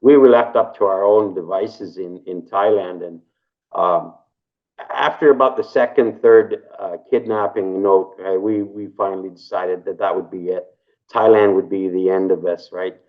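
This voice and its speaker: A low-pitched man in his fifties from Canada, talking casually in an interview. He rambles, repeats himself and keeps tagging sentences with "right?". The recording sounds dull and lo-fi. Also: steady tone, normal speed. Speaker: interview guest.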